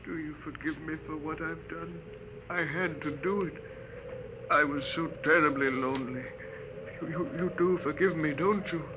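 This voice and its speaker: An actor playing a lonely, frail old doctor in a 1950s radio drama, speaking weakly and haltingly with repeated words. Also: male voice, on the high side, highs cut off, natural voice. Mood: pleading, fading. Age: older. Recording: noisy vintage 1950s radio recording.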